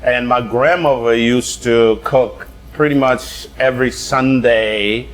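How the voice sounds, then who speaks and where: A man from Guyana, a chef chatting casually and telling a lively story with quick bursts. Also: medium pitch. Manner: animated storyteller, quick bursts, laughs often. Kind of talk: casual chat.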